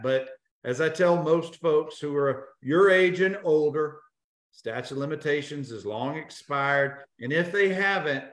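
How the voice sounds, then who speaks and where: A man in his thirties hosting a live video interview, upbeat and joking with quick asides. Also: on the high side. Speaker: host. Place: home office, indoors. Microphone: video call. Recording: roomy video call audio.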